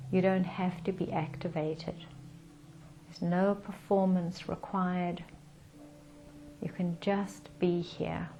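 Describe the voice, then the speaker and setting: Slow, low, mellow woman guiding meditation. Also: slight background noise. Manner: calm, soothing, with gentle pauses. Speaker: guided meditation leader. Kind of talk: guided meditation.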